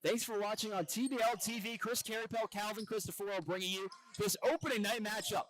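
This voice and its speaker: High-voiced man calling basketball play-by-play. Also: steady tone, quick pace, fairly bright tone. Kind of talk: live TV sports commentary.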